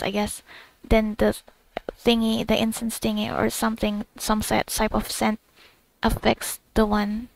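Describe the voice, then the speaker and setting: A woman's voice: on the high side, gravelly, no echo, poor audio. A woman gamer giving casual, jokey commentary and rambling as she thinks aloud while playing.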